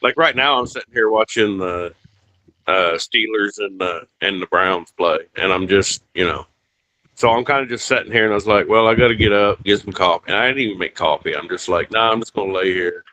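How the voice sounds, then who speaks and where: A man's voice: lowish voice, brisk, pushed and bright, no room sound, low-quality recording. Rambling man, dry close mic. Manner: rambling, full of restarts and "you know". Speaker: podcast co-host. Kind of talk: casual live stream chat.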